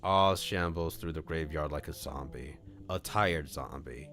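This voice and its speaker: A man with a low voice reads a visual novel aloud as a streamer. He moves between expressive storytelling and casual live commentary. Music plays softly behind him. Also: a little slow. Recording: music under the voice. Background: background music.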